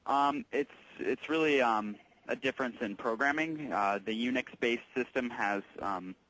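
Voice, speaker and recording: A man working as a tech support employee on a call, explaining things patiently in a halting, informal way. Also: medium pitch, a bit bright, low-quality recording. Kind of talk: casual explanatory phone conversation.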